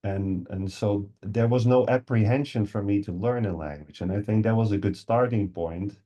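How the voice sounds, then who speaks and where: A man in his forties from the Netherlands, a native Dutch speaker with a Dutch accent, talking as a podcast guest and often pausing with ums and uhs. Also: fairly low pitch, warm tone, highs cut off. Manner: hesitant, many ums and uhs. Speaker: guest English teacher. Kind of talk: podcast interview.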